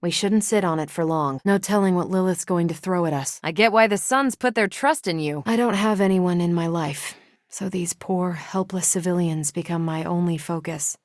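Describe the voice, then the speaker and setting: Woman acting as tough superhero soldier, American accent, lowish female voice, wry and confident, casual sardonic delivery, dry studio sound, video game character. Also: normal speed, dry recording, lightly acted. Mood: earnest. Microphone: close, dry studio microphone.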